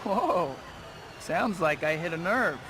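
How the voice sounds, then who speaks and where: Cocky young game superhero, high voice, quippy, unimpressed, sarcastic. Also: male voice, animated, slow pace, background noise. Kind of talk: video game voice acting.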